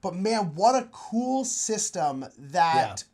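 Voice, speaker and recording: A thirty-year-old man with a moderately high voice co-hosts in a loose, conversational way. He sounds enthusiastic and informal. He often repeats himself to stress a point. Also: very animated, slow, darkish tone. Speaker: co-host of a board game show. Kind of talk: casual enthusiastic chat.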